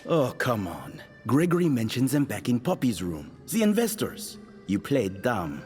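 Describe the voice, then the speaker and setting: An actor playing a suspicious, accusing company assassin who interrogates a coworker in a comedic audio drama. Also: male voice, medium pitch, huge pitch swings, a little slow, a little mellow, soft background music. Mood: menacing, insistent. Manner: accusing, insistent.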